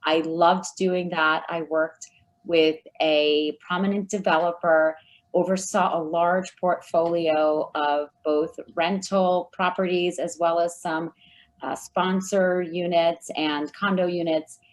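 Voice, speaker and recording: A woman giving friendly, measured answers as a guest in an interview. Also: on the low side, steady tone. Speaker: guest, recruiting firm founder.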